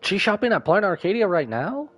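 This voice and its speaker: A man's voice: sits high, very animated, fast talker, a bit bright. A man livestreaming a game, giving playful commentary and reading dialogue aloud. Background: faint game music.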